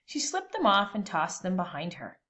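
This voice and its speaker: A woman reading a children's storybook aloud expressively, with dramatic, deliberate pauses between phrases. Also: lowish voice, big pitch swings, pushed and bright.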